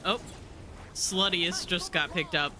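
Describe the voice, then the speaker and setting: A man's voice: sits high, fairly even intonation, slow pace, background noise. A man giving casual live commentary as a game streamer, talking into a close mic.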